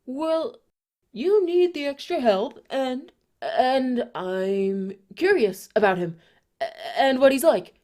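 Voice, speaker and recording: Casting: woman as young cartoon boy sidekick, high but mellow, lively and earnest. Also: slow. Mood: bashful, flustered. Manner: earnest, stammers when flustered. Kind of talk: animated fan audio drama.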